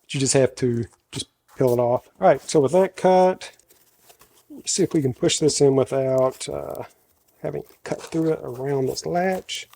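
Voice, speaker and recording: A man with a country American accent and a slight head cold, talking himself through a workshop project in a loose, thinking-aloud way. His natural voice is very deep, and he often corrects himself mid-sentence. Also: mellow. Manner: talks to himself while working, self-correcting. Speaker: host showing a woodworking project.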